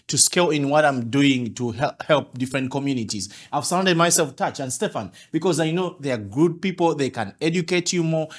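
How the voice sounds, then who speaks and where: A man hosting a podcast in an office meeting room, earnestly restating his points. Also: fairly high pitch. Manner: earnest, repeats key phrases for emphasis. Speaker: podcast host. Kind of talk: podcast conversation.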